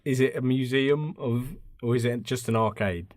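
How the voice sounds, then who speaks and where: A man's voice: mid-range voice, normal speed, no room sound, clean studio sound. A man co-hosting a podcast in casual comic chat, playfully quipping and prodding with questions. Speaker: podcast co-host.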